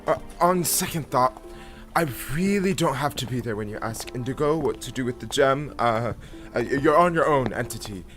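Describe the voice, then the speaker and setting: A man acting as a stern, wary mentor in an audio drama. The voice is mid-range with a bright, forceful edge, weary and reproving, over faint music. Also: mid-range voice, lively intonation, moderate pace, natural voice. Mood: flustered.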